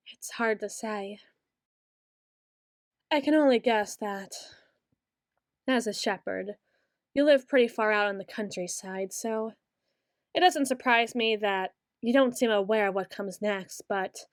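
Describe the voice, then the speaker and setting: Female performer as a young queen, soft-spoken and bitter, unhurried, for an ASMR roleplay, close mic, no room echo. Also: mid-range voice. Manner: soft-spoken, slow and musing, turning bitter. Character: brooding young queen, bitter toward her late father.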